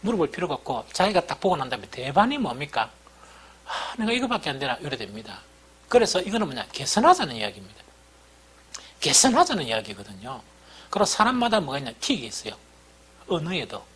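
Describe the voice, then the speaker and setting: A man's voice: on the high side, huge pitch swings, fast talker, a bit bright, slightly rough. A middle-aged man with a Busan-area Gyeongsang accent preaching as a pastor in an informal, conversational teaching style, asking rhetorical questions and repeating key points.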